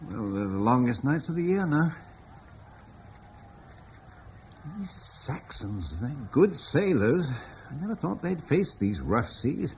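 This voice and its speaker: A man's voice: medium pitch, very animated, unhurried, a little mellow. A bitter, resigned Roman-era man. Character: weary Roman-British man facing the end. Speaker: Julian, husband in a radio play. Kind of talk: 1950s-60s radio drama acting. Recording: old radio broadcast with faint noise.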